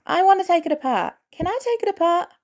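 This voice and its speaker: A woman's voice: very high-pitched, animated, fast talker, darkish tone, pitched above the performer's own voice. A woman voicing an eager, stubborn fourteen-year-old boy in an audio drama, curious and cheeky, blurting out questions. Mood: excited, curious.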